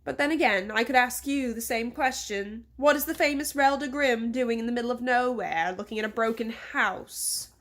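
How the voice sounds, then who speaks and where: A woman voicing a pompous, rude male mayor with a British accent and a drawn-out, condescending delivery in a story. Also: a little high, a bit bright, natural voice. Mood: pompous, insistent.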